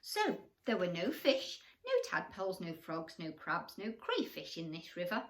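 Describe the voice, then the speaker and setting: A woman's voice: lowish voice, wildly swooping pitch, unhurried, fairly bright tone. A woman storyteller reading a bedtime story expressively to children, with questions and asides to the young listeners.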